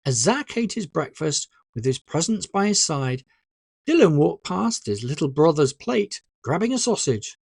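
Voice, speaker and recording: An author narrating a story in an expressive, deliberate, scripted audiobook style. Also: mid-range voice, gravelly, husky, dry recording, clean studio sound.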